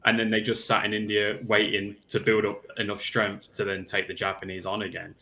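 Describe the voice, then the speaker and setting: A man's voice: on the low side, little pitch movement, brisk, pushed and bright, a little hiss or hum. A man giving his opinions casually as a panelist on a hobby livestream. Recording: echoey room on livestream.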